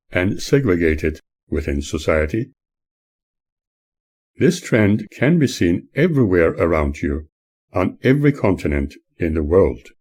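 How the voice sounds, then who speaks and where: Husky, low-voiced man reading measured narration. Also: darkish tone. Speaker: author reading own book. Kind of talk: scripted narration, audiobook-like reading.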